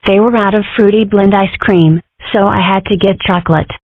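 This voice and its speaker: A woman's voice: medium pitch, steady tone, moderate pace, no room sound, natural voice. A woman voicing an inquisitive, dramatic young cartoon girl in a comic strip dub, with wry, theatrical musing. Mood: curious, wry. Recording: muffled phone-like audio.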